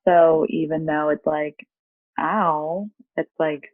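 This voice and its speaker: A woman's voice: low-pitched, steady tone, slow, no room sound, phone-quality sound. A young woman, a guest at a tarot reading, chatting casually in an informal, reflective and self-deprecating way over thin call audio. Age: young adult. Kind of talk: casual chat.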